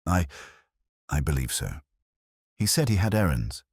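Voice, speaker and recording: Hushed Victorian widow, read by a man, low husky tone, hesitant, formal, swooping intonation, storybook. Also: big pitch swings, measured pace, dry recording, studio quality, full character voice. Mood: uneasy, faltering.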